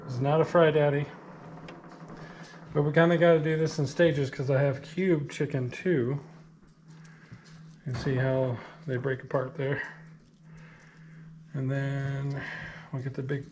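A man's voice: mid-range voice, flat delivery, unhurried, long pauses, a little mellow, slight background noise. A man in his thirties filming a casual cooking vlog in his home kitchen, joking and chatty with lots of fillers. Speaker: vlogger cooking meal prep.

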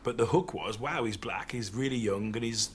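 A man speaks in a documentary interview, reflecting on the past in a living room. His voice is husky. He is relaxed and talkative. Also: mid-range voice, normal speed. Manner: reflective, mimics voices when quoting. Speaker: interviewee, comedian.

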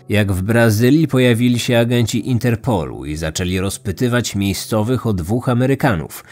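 A man narrating a scripted true-crime podcast as host, in a measured, serious manner. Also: lowish voice, a little mellow.

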